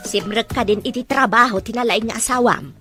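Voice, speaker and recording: A woman's voice: a little high, a little fast, over music, natural voice. A woman with an Ilocano Filipino accent, playing a scolding, protective mother in a radio drama.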